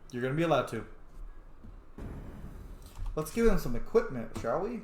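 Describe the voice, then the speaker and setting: A man plays a roleplaying game and comments casually as he goes, full of blunt asides. His pitch swings in a lively way, and the sound is roomy with faint noise behind. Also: normal speed. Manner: sweary, opinionated asides. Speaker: gamer playing through a roleplaying game. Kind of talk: casual reactive playthrough commentary.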